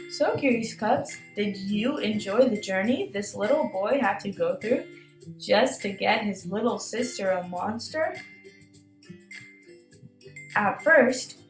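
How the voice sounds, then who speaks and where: A woman reading a children's storybook aloud with expressive narration, addressing young listeners. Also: mid-range voice, roomy sound. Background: light music.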